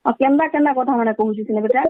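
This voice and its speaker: A woman's voice: a little high, fast talker, dry recording. A middle-aged woman, insistent and repetitive, defending herself on a recorded phone call. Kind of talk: heated phone argument in colloquial speech.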